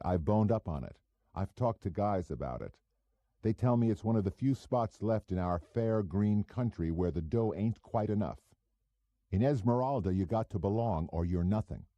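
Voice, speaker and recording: A man's voice: fairly low pitch, dark tone, no room sound, dull audio, voice pushed up, lightly acted. A man playing a sneering, talkative, cynical wise-guy operative with a cocky, slangy manner in an audiobook.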